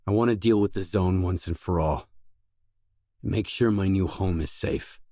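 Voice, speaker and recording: An actor playing a determined, guarded stalker in a video game, speaking in a terse, businesslike manner. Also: male voice, deep voice, measured pace, warm tone, dry recording, studio quality. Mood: firm, suspicious. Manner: terse, businesslike, probing questions. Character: gruff, guarded, determined stalker, a man roaming a hazardous zone.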